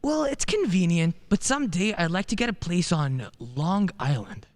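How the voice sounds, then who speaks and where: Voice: mid-range voice, lively intonation, moderate pace, lightly acted. An actor playing a friendly young male lawyer in a radio play, breezy and wry. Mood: affable. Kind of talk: stage-style radio play acting.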